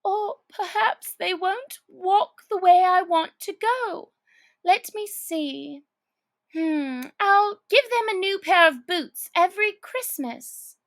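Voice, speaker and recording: A woman's voice: extremely high pitch, animated, slow pace, pitched above the performer's own voice, heavily acted. A woman voicing a dreamy, fretful little girl who exclaims and muses theatrically to herself in a storybook reading. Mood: musing.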